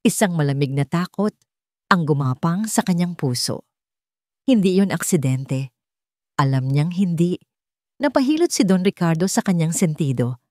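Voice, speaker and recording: A woman's voice: on the low side, animated, normal speed, long pauses, warm tone, dry recording, studio quality. A woman with a Filipino accent reading dramatic scripted narration as a storyteller.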